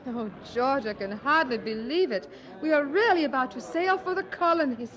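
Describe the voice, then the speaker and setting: High-voiced, devoted period wife. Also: female voice, animated, moderate pace, a bit bright, a little hiss or hum. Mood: excited. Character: devoted, anxious wife of an 18th-century preacher. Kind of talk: audio drama acting.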